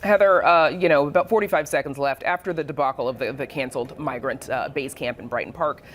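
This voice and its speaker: A woman's voice: deep voice, fast talker, slight background noise. An American woman hosting a broadcast news program, putting questions to reporters and reading introductions. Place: TV studio.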